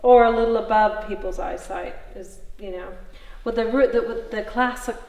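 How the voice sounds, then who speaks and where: A woman's voice: fairly high pitch, moderate pace, a little mellow. A woman talking casually, in an informal explaining way. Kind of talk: casual conversation. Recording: echoey room, some background noise.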